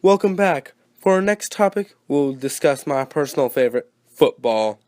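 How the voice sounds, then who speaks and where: An eager sports co-host from a 1920s radio show, acted by a man. His voice is fairly high and recorded very dry. He is earnest and peppy, with a teasing streak and plenty of old-time slang. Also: lively intonation, normal speed, a little mellow, no room sound, lightly acted. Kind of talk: 1920s radio broadcast acting with period slang.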